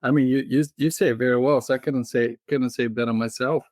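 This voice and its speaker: A man in his thirties from Bulgaria with a Bulgarian accent, a guest in a casual interview who talks in a halting way, full of fillers and restarts. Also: medium pitch, fairly even intonation, a little mellow, dry recording, studio quality. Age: 30s.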